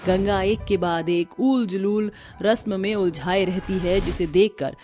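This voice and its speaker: A woman's voice: medium pitch, measured pace, mellow, soft background music. A woman reading scripted narration as the storyteller of a family tale.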